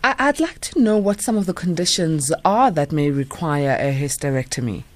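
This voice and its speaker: A low-pitched woman speaks close to a studio mic in a dry, dead room. She hosts a late-night radio show, leading her guest with questions and many small fillers. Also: animated, normal speed, no echo. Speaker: radio host. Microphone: studio microphone.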